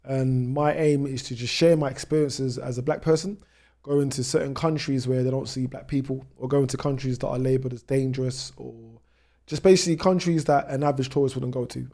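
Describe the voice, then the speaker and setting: A man's voice: mid-range voice, steady tone, a little fast, dark tone. A British man in his thirties telling his own story conversationally as a podcast guest, with frequent "you know" asides. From United Kingdom. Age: 30s. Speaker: travel vlogger, podcast guest.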